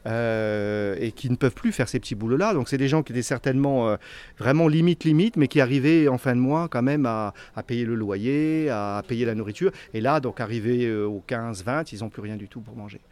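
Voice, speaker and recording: Earnest middle-aged man, halting speech. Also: mid-range voice, a little mellow. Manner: earnest, repeats words with frequent fillers. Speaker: association founder. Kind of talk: casual interview answers.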